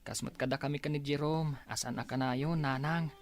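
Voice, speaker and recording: A man playing a patient young man who coaxes and calms in a soft-spoken way, in an Ilocano radio drama. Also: medium pitch, a little fast, lightly acted. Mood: reassuring. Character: patient young man calming his anxious elder.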